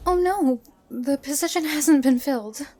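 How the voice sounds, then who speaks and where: A woman's voice: sits high, unhurried, mellow. A woman playing a tactful, wry adventurer interviewing recruits in a fantasy audio drama.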